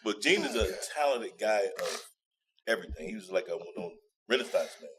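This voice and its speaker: A man's voice: lowish voice, lively intonation, brisk, background noise. A man chatting informally as a podcast guest, telling anecdotes and repeating phrases for emphasis. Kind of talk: casual chat, anecdotes.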